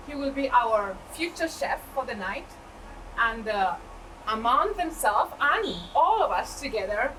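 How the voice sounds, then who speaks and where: A woman speaking for a community organization, giving formal opening remarks in a halting way, repeating words while she searches for them. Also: high voice. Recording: distant mic with noisy room.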